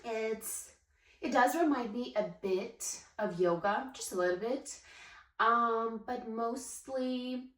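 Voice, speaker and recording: A woman's voice: fairly high pitch, slow, a bit bright. A woman, chatting casually to camera in a vlog and thinking aloud with fillers and restarts. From Ukraine. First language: Ukrainian. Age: late 20s. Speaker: vlogger. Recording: echoey room.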